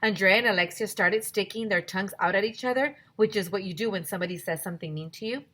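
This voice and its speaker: A woman teacher reading a children's book aloud in an expressive, theatrical storytime style. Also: medium pitch, fast.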